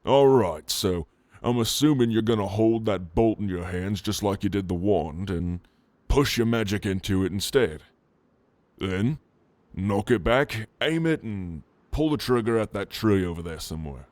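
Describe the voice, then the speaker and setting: A man playing a flirtatious, protective orc in an intimate ASMR roleplay, with a teasing, casual and gentlemanly manner. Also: fairly low pitch, a little slow, no echo, close to an everyday voice. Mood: encouraging, casual. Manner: teasing, intimate, casual drawl with soft chuckles. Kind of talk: ASMR roleplay addressed to the listener.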